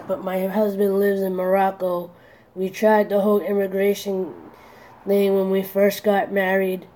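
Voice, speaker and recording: A woman in her forties speaks slowly in a flat, low-key voice with a dark, mellow tone. She talks candidly to her vlog camera, earnest and a little worried, often restarting her sentences and leaning on "you know.". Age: 40s. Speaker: vlogger.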